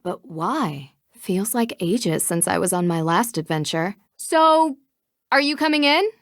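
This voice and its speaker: A woman playing a curious, plucky young amateur detective in a video game, with a clear, inquisitive delivery. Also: medium pitch, lively intonation, measured pace, dry recording, clean studio sound. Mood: musing. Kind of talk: video game voice acting, scripted dialogue and narration.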